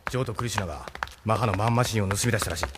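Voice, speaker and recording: A man's voice: fairly low pitch, rapid-fire, heavy background noise, natural voice. A man acting in a Japanese audio drama as a capable, no-nonsense ship captain leading a rescue. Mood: hushed urgency.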